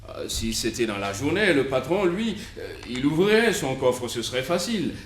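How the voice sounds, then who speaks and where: An actor playing a humble, obliging hotel night porter in a 1960s French radio mystery, deferential and hesitant. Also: male voice, mid-range voice, big pitch swings, moderate pace, a little hiss or hum, close to an everyday voice. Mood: apologetic. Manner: deferential, hesitant, prefacing remarks with hums. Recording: echoey vintage radio studio recording.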